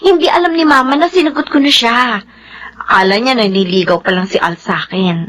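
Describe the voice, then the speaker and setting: An actress playing a young, lovestruck daughter in a Filipino radio drama, earnest and emotional. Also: female voice, a little high, fairly quick, a bit bright. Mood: distressed. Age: young adult.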